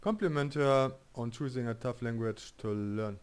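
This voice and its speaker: A man with a German accent plays through a game on stream and talks over it in a joking way. He reads the options out loud and mulls them over in loose, chatty English. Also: mid-range voice, normal speed, clean tone. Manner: joking, reads choices aloud, thinks out loud. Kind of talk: casual let's-play commentary.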